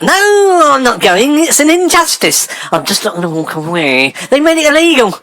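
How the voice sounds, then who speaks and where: A man playing a camp, touchy, chatty English gentleman with affected, arch airs in an old-fashioned radio sitcom style. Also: very high voice, very animated, normal speed, full character voice. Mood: indignant. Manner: affected, arch, gossipy, indignant.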